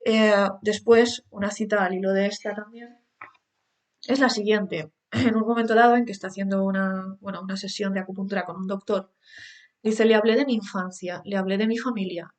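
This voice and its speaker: A young woman presents a literary paper at a conference. Her voice sits at a middle pitch, and she explains with many hesitant restarts between quotations she reads aloud. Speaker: academic presenter. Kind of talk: conference talk reading quotations.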